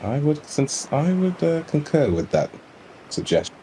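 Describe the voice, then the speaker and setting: A man voicing a hesitant, formal, scrawny handyman in a tabletop game, with halting, stilted speech. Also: on the high side, very animated, noisy background.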